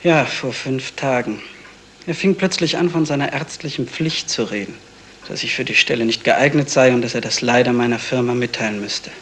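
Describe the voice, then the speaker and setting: A bitter male suspect played by an actor in a seventies radio mystery. He sounds resigned and clipped, in a medium-pitched voice. The old recording carries faint noise. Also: normal speed, fairly bright tone, natural voice. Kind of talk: 1970s German radio crime drama acting.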